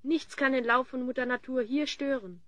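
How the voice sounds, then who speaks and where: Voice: high voice, moderate pace, pushed and bright, no room sound, highs cut off. An actor playing a fifteen-year-old boy hero in a fantasy audio drama, earnest, sensible and theatrical.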